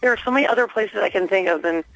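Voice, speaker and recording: A woman's voice: mid-range voice, rapid-fire, gravelly, a bit bright. A woman phoning a radio station as a listener, making an earnest, insistent complaint in a hesitant, spontaneous way. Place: phone call into a radio station. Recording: dry telephone line to radio studio.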